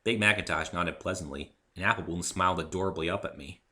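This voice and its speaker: A man's voice: low-pitched, fast, sharp tone. A man narrating a first-person story in a dramatic reading style. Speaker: author reading own story.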